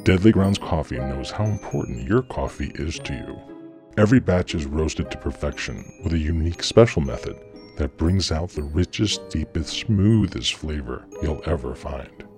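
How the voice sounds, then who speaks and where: A man with an extremely deep voice reads a scripted radio-style ad, punchy and selling hard. Faint music plays underneath him. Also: expressive, moderate pace. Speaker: ad announcer.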